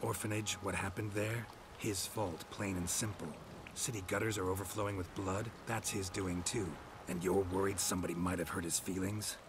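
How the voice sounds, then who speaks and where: Actor playing a low, gruff American monster hunter. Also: male voice, moderate pace, background noise, natural voice. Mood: irritated, blunt. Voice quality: gravelly, gruff. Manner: terse, flat, dry. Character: gruff, world-weary male monster hunter, cynical and terse. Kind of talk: video game acting.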